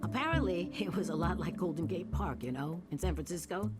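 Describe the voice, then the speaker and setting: An actress plays a chatty older woman in a video game, low in pitch and full of lively swoops. She rambles in a folksy, conspiratorial way, eccentric and streetwise, with music playing clearly behind her. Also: brisk, natural voice. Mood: musing, chatty.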